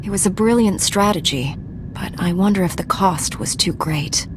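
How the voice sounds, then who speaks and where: A woman's voice: on the low side, moderate pace, noisy background, natural voice. A woman playing a resolute, earnest young Jedi knight in a video game cutscene. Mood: grave.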